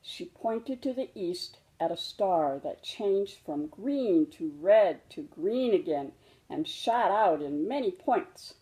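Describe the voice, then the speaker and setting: A woman narrating a children's picture book aloud in a gentle, deliberate manner. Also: on the low side, lively intonation, low-quality recording. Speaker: storybook reader.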